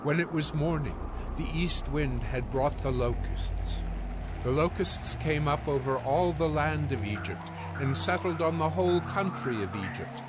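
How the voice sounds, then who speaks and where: A man's voice: a little high, unhurried, background noise. A man narrating a scripted scripture reading.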